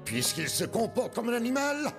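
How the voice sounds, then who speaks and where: Eccentric scheming professor, high-pitched, very animated, pedantic, theatrical, cartoon. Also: male voice, normal speed, creaky, heavily acted. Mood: smug, scheming. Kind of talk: dubbed cartoon acting. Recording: loud music behind the voice.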